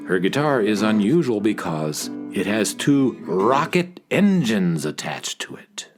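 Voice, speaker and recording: A man's voice: lowish voice, sing-song, unhurried, over music. A man narrating a children's radio play as a scripted storyteller, addressing young listeners.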